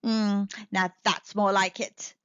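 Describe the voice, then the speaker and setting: A woman's voice: mid-range voice, flat delivery, takes it slowly, fairly bright tone, smooth, pitched below the performer's own voice. Woman doing a casual, streetwise tomcat sidekick. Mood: pleased. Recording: slightly dull, echoey home recording.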